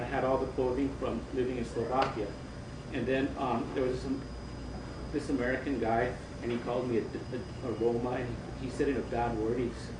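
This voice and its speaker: A man in the audience telling a travel story in halting, restarting speech. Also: mid-range voice. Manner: halting, many restarts, laughs often. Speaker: audience member. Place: bookstore event room. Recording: echoey room, distant mic, background noise.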